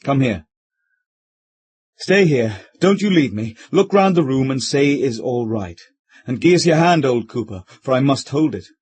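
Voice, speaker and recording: A man's voice: mid-range voice, expressive, a little slow, a little mellow, husky, no echo. A man reading aloud voices a male country squire who talks bluntly, in a with rustic turns of speech. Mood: frightened. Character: male country squire, gruff, hard-drinking, frightened.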